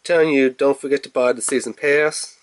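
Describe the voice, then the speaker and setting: A man's voice: medium pitch, steady tone, fairly quick, slight background noise. A man with a stuffy nose rambling casually through a video game unboxing review, full of fillers, recorded indoors at home on a phone or camera above a table. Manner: rambling, filler-heavy, talks to camera while handling the case. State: stuffy nose, congested, clearing throat. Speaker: gaming channel host.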